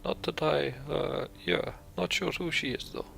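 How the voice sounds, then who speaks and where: A man's voice: a little high, fairly even intonation, unhurried, gravelly, sharp tone, slight background noise, voice pushed up, exaggerated voice. A man voicing a blunt, sardonic woman warrior. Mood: wry.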